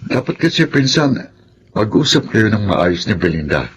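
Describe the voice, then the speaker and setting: A sensible elder cousin in a Filipino radio drama. His voice is fairly low and concerned, advising conversationally over faint noise. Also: very animated, moderate pace.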